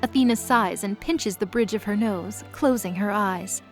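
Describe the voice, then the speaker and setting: A woman's voice: medium pitch, moderate pace. A woman narrator reading a scripted dramatic story. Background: music bed.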